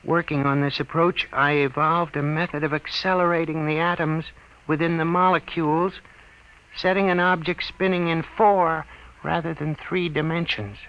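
Prim, high-voiced eccentric little inventor. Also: male voice, steady tone, normal speed, a bit bright, low-quality recording. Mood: pompous, lecturing. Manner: formal, precise, prim diction. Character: eccentric, aggrieved little genius inventor, polite but megalomaniac. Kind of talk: 1940s-style radio drama acting. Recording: old radio broadcast recording.

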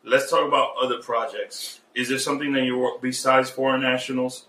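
A man's voice: mid-range voice, little pitch movement, brisk, sharp tone, slight background noise. A man hosting a casual podcast, joking and often repeating words as he starts a sentence. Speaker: podcast host. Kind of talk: casual chat. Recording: echoey room, mic at a distance.

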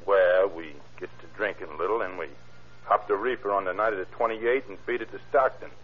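An actor playing an evasive, uneducated drifter suspect in an old-time radio crime drama, talking in halting, slangy, ungrammatical protests. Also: male voice, deep voice, fairly even intonation, slight background noise, lightly acted. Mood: pleading, explaining. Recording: muffled vintage radio recording.

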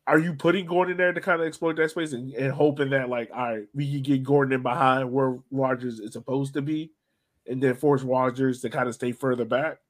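A man's voice: medium pitch, fairly even intonation, brisk. A man with a mid-range voice talks as a podcast host about sport. His delivery is casual and conversational, like someone steering a discussion among fellow fans. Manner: conversational, poses questions to co-hosts. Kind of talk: casual soccer podcast chat.

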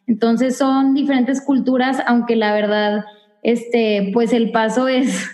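A woman from Monterrey, a youth ministry leader answering in a relaxed way. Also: on the high side, takes it slowly. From Monterrey, Mexico. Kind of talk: casual interview answer. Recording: roomy echo.

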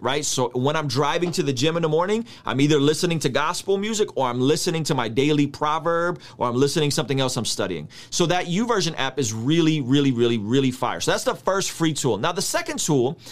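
A man in his thirties, a native Russian speaker, giving an energetic, slangy Bible study lesson. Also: on the high side. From United States. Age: 30s. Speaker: Bible teacher. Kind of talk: casual video teaching.

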